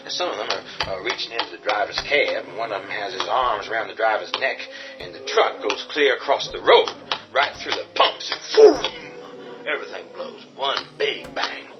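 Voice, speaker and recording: A man's voice: fairly low pitch, sing-song, moderate pace, muffled recording, music in the background. An actor playing a tough man barricading a house against the undead, talking urgently and giving orders. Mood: recounting. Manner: urgent, commanding, swings to shouting. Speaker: lead character. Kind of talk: radio drama acting.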